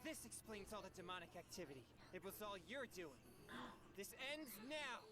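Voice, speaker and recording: A woman's voice: medium pitch, sing-song, a little fast, very noisy, close to an everyday voice. An actress playing a polite, hesitant boy exorcist in a game trailer, courteous and prone to stammering. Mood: alarmed, determined. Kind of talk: game character trailer acting.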